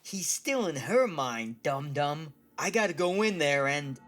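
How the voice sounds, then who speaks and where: Man as a blunt, animated adventure hero. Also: on the high side, unhurried. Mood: urgent, grim. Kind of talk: audio drama acting. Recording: music under the voice. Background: music.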